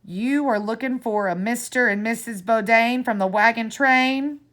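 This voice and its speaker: A woman voicing an impatient, curt male office clerk who speaks in a brisk, official way. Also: on the high side, a bit bright.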